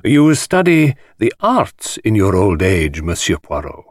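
A man's voice: fairly low pitch, wildly swooping pitch, a little slow, no echo, clean studio sound, exaggerated voice. Man putting on a languid, swooping society host. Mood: baiting. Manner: drawling, affected, mockingly purring. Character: languid, flamboyant, spiteful foreign society host, male. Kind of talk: audiobook character voice.

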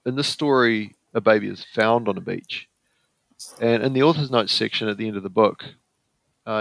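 A man hosts the interview, his voice a bit lower than most and gravelly at the edges. He reads out his prepared questions in a measured way, recorded dry with no room sound. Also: fairly bright tone, dry recording.

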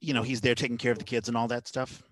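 A man's voice: medium pitch, level tone, rapid-fire, slight background noise. A middle-aged man with an American accent, hosting a casual interview chat. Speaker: podcast host.

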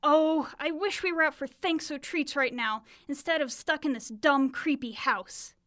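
A woman's voice: sits high, unhurried, fairly bright tone. A woman playing a whiny, snippy little sister in a comic radio play, complaining and teasing.

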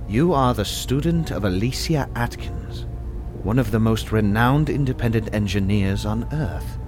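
An actor playing a calm, courteous doctor and mentor in an audio drama, formal and reassuring in manner. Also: male voice, on the low side, a little slow, close to an everyday voice. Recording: music under the voice.

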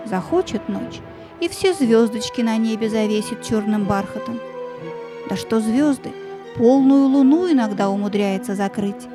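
A woman narrating a fairy-tale audio play in an expressive, theatrical storytelling manner. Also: on the high side.

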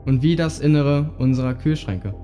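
Warm dark-toned man, short phrases. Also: a little high, moderate pace. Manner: short imperative phrases inviting listeners to imagine. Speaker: narrator addressing the listeners. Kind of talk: scripted immersive audio play narration. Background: music.

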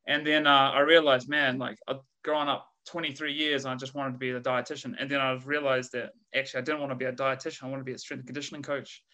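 Man, New Zealand accent, thirties, relaxed slangy chat, video call guest. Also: mid-range voice, quick pace, few pauses. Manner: laid-back, slangy, laughs often. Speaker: guest researcher. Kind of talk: casual podcast interview.

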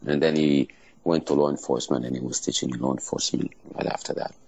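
Very deep gravelly man with Israeli accent. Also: fairly even intonation, a little mellow. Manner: halting, many pauses and fillers. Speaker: martial arts school owner. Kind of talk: casual interview answers.

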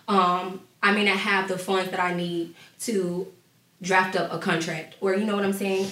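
A woman's voice: lowish voice, a bit bright. A young woman, an entrepreneur, talking casually with many fillers during a panel discussion. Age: young adult. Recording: echoey room, distant mic.

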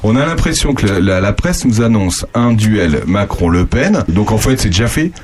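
A man's voice: fairly low pitch, very animated, normal speed, background noise. A man hosting a radio show in a casual, jovial and chatty way, speaking off the cuff. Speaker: radio host. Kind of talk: casual unscripted radio talk.

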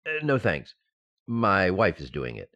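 An actor playing a naive, excitable expectant husband in an old-time radio sitcom, earnest and flustered. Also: male voice, low voice, unhurried, muffled recording, full character voice.

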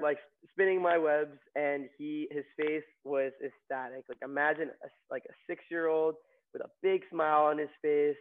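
Young male guest from New York, casual storyteller; narrow pitch, lo-fi phone-quality audio. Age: young adult. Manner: chatty, many fillers and restarts. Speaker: nursing graduate guest. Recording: tinny phone-like line.

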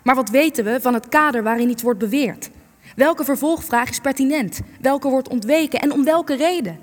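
An actress in a Dutch radio play, playing a sharp, cynical researcher who is sardonic, wordy and needling. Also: female voice, high-pitched, moderate pace, natural voice. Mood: scornful, skeptical. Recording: long reverb, music underneath.

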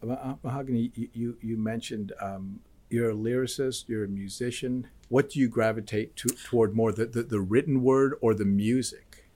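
A man hosting an interview, asking questions in a halting way with many restarts and encouraging murmurs. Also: medium pitch, fairly even intonation, dark tone. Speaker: host. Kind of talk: conversational interview.

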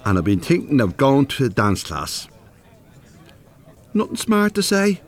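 A man's voice: medium pitch, huge pitch swings, a little slow. An actor playing a plain-spoken, easily irritated older man with a Dublin accent in a radio drama. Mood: earnest, grumbling. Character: rough-edged, easily irritated older widowed working man.

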